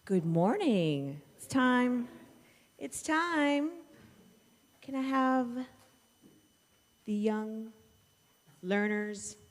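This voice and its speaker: A woman's voice: a little high, expressive, extremely slow, long pauses. A woman speaking informally and off script to children and adults at a church service, conversational and asking questions. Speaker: teacher leading children's time at church. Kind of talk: informal talk to a congregation with children. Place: church sanctuary. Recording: echoey hall with long reverb.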